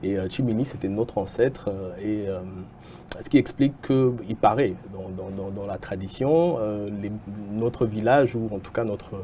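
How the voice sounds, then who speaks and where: Homme hésitant, voix basse et sombre. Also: male voice, normal speed, mellow, a little hiss or hum. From Kasai, Congo. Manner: hesitant, many filler sounds. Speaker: guest, entrepreneur. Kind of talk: interview answers.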